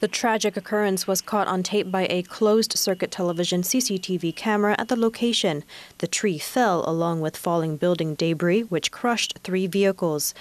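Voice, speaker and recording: A woman's voice: on the low side, moderate pace. A woman with a Malaysian accent reading scripted news as a television presenter. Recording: music bed behind voice.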